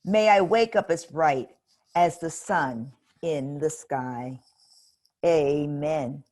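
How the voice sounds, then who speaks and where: A woman reading aloud as a sad little girl saying a bedtime prayer, soft and pleading. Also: low-pitched, slow pace, voice pushed down.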